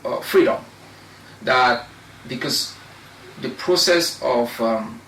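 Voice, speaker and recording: A young man from Cameroon, a law researcher, giving measured, argumentative answers as an interview guest, with pauses and restarts. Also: mid-range voice, animated, fairly bright tone, far from the mic. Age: young adult. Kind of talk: interview discussion. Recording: echoey room, voice off-mic, faint noise.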